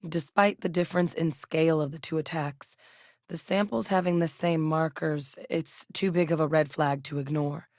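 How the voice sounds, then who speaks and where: An actress playing a composed, earnest woman scientist with a military past in a video game, speaking in a measured, serious, articulate way. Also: low voice, steady tone, darkish tone, dry recording, studio quality. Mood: calm, sincere. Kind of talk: scripted game dialogue, naturalistic acting.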